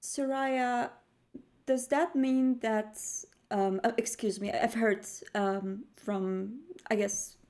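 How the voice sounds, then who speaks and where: A woman's voice: a little high, steady tone, slow pace, a little mellow. A woman improvising dialogue as a tabletop roleplay player, phrasing things politely and carefully, with a lot of hesitation.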